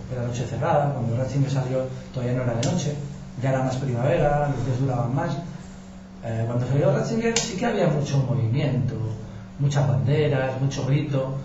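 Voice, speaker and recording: A journalist from giving an informal talk to an audience, colloquial and anecdotal, with frequent restarts. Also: male voice, mid-range voice. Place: meeting room with audience. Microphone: distant room mic. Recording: echoey, distant, dull with noise.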